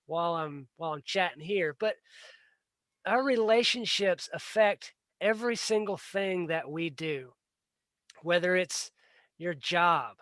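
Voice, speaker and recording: A man's voice: sits high, fairly bright tone, no echo. A man hosting a casual livestream, talking earnestly and bluntly and often repeating words as he restarts a sentence. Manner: plain-spoken and blunt. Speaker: livestream host. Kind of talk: casual solo livestream talk.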